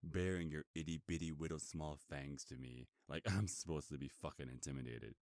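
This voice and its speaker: Man playing a very deep, smug werewolf hunter. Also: darkish tone, no room sound, clean studio sound. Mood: mocking, menacing. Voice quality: booming deep voice. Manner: smug, slow teasing menace. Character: cocky, taunting male werewolf bounty hunter. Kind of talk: ASMR roleplay acting.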